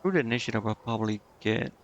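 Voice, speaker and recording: A man's voice: medium pitch, expressive, fast talker, lo-fi sound, a little hiss or hum. A man thinking aloud in casual, indecisive gameplay commentary, muttering to himself as he streams. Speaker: game streamer.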